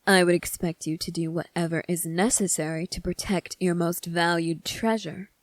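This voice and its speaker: A woman playing a cunning, self-assured young prince in an ASMR roleplay, speaking in a measured, formal, teasing way. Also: on the low side, fairly even intonation, dry recording, clean studio sound, close to an everyday voice. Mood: smug, composed.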